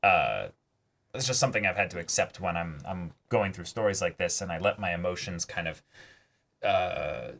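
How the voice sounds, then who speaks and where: A man's voice: deep voice, expressive, normal speed, gravelly, fairly bright tone. A man in a casual discussion as a reaction show host, reflective and hesitant as he searches for words. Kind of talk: casual discussion of an anime episode.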